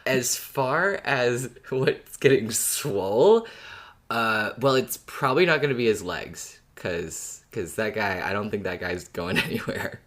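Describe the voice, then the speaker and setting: A man hosts a fan podcast with a very animated, swooping delivery. He talks in playful bro slang and puts on a mock-dramatic tone as he chats and recaps. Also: on the low side, a little slow. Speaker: podcast host.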